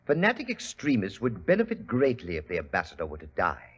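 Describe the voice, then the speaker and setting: An actor playing a suave, formal, grave spice trader in a 1940s radio drama. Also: male voice, fairly low pitch, wildly swooping pitch, fast talker, husky, exaggerated voice. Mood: grave, ominous. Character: suave, grave foreign-based spice trader, hard of hearing. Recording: muffled old radio recording, faint hiss.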